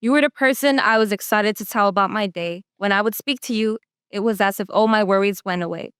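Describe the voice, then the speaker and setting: A young woman, a student poet, giving a reflective, measured poetry reading with pauses between short phrases. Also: mid-range voice, a bit bright, clear, resonant tone, dry recording, studio quality. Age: young adult.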